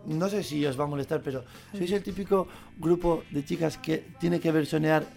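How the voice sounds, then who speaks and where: A man's voice: fairly high pitch, fairly even intonation, normal speed, gravelly, darkish tone, faint music behind. A man hosting a radio interview, asking questions in a hesitant, stumbling way full of fillers.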